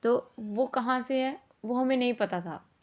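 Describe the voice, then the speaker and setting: A woman's voice: a little high, unhurried. A woman explains a lesson in an informal, conversational way, mixing in English words and often checking understanding with short tag questions. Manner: mixes English words into speech. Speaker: teacher.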